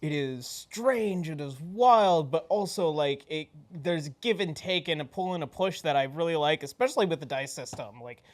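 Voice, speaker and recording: Lower-voiced woman chatting about gaming. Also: animated, moderate pace, hardly any pauses. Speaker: tabletop game player. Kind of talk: casual post-game chat.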